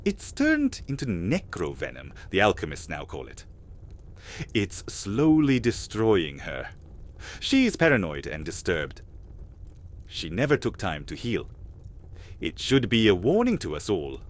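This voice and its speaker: Man playing a scheming alchemist vampire. Low, hugely animated delivery. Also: a little slow, heavily acted. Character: scheming male vampire alchemist, theatrical and sly. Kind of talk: fantasy audio drama acting.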